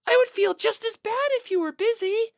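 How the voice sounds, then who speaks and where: A very high, dry-sounding schoolgirl voiced by a woman, gentle and easily flustered. She speaks bashfully and hesitantly, as a character in an audiobook. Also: normal speed, vocal fry, no echo. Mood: anxious, apologetic.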